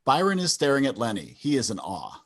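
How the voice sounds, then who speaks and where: A man's voice: mid-range voice, huge pitch swings, a little fast, fairly bright tone, breathy edge. A man reading stage directions as the narrator of a script. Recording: video call table read.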